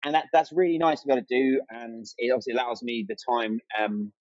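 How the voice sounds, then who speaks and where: Fast-talking man, dry sound. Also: mid-range voice, no echo, highs cut off. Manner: chatty and enthusiastic, acts out remembered exchanges. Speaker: interview guest, amateur runner and content creator. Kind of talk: casual podcast chat.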